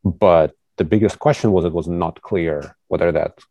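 A man's voice: sits low, normal speed, dry recording, dull audio. A young man from Ukraine, a research trainee speaking conversationally as an interview guest, not an English speaker. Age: young adult. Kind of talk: casual conversational interview answer.

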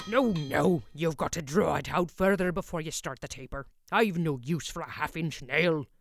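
Woman playing a loud gruff old blacksmith. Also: low-pitched, moderate pace. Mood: scolding. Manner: loud, barking, rustic old-fashioned dialect. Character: gruff older male blacksmith, brusque.

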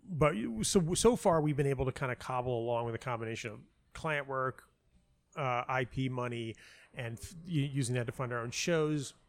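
A man speaking as an interview guest, telling personal anecdotes casually in a halting way, interrupting himself and repeating words for emphasis. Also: medium pitch. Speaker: guest, playwright and audio dramatist.

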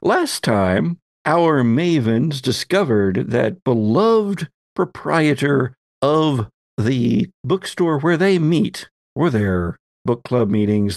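A man's voice: fairly high pitch, no echo, clean studio sound. A man hosting as game master, narrating a tabletop roleplay story in a theatrical, folksy storyteller's way. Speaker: podcast host and game master.

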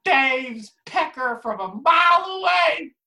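A crude, shameless plumber man, with a woman putting him on. The voice is very high and bright, and he talks slowly and brashly. Comedy sketch delivery. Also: animated, vocal fry, exaggerated voice.

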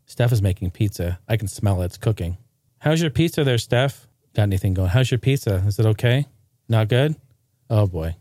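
Excitable laughing man, dry sound. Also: on the low side, normal speed, no room sound. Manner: excitable, laughs often, says dude a lot. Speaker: musician hosting a chat. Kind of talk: casual chat.

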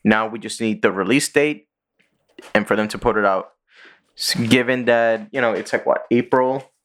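A man hosting a podcast in casual chat, rambling through stories with quick asides. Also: lowish voice, a bit bright. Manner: rambling storyteller, sings and does quick voices. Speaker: podcast host.

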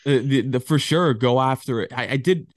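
A man hosts an interview in an easygoing tone, with a voice of middling pitch. He strings his questions together loosely as he thinks aloud. The sound is dry and close, with no room echo. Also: normal speed. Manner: relaxed, conversational, long rambling questions. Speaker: podcast host, interviewer. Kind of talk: casual interview chat.

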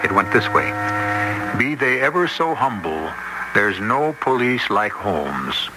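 A man hosting a radio drama program, reading a scripted introduction in a measured, polished way. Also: lowish voice, sing-song, heavy background noise. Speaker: program host.

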